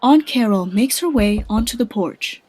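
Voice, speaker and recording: A woman's voice: a little high, expressive, moderate pace, a little mellow, slightly rough, a faint crowd. A woman giving scripted narration as the storyteller of a radio drama.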